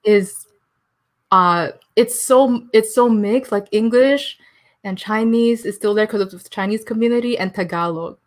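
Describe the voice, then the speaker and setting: A woman's voice: mid-range voice, a little slow. Chatty young woman guest, laughing often. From Philippines and Taiwan. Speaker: podcast guest. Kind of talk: casual interview chat.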